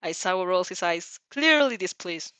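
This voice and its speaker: A woman's voice: fairly low pitch, animated, normal speed, a bit bright, clean tone, no room sound. A woman reads a story aloud expressively and informally, stumbling over sentences and breaking off to react casually. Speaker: fan fiction reader reacting as she reads. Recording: slightly dull, dry recording.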